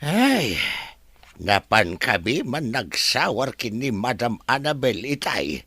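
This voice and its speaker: Radio play: man as timid old worker, husky, slow, dry close sound. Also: mid-range voice, big pitch swings, pushed and bright, no room sound, full character voice. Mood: sheepish. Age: older. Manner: hesitant, stammering "ay, madam".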